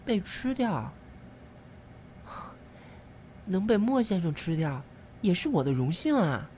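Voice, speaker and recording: A woman's voice: on the low side, sing-song, moderate pace, mellow, slight background noise. A woman voicing a shy, lovestruck young tomato in an audio drama, with a bashful, gushing manner. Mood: timid, devoted. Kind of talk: Chinese audio drama acting. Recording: music behind the voice.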